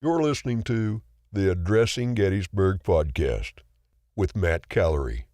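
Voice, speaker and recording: A man's voice: sits low, animated, measured pace, a little mellow, dry recording. A man announcing a podcast in a scripted show ident.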